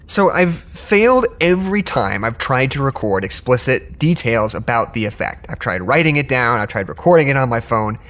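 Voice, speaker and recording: An actor playing a distracted, frustrated research doctor in an audio drama, speaking haltingly with restarts. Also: male voice, medium pitch, big pitch swings, fairly bright tone. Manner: halting, stammering, restarts sentences. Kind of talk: naturalistic audio drama acting.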